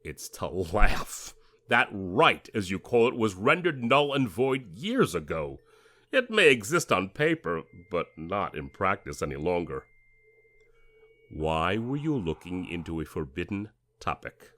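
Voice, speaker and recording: An actor playing a pompous, menacing government interrogator, formal and domineering, in an audio drama. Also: male voice, deep voice, big pitch swings, unhurried, a bit bright, heavily acted. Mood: threatening.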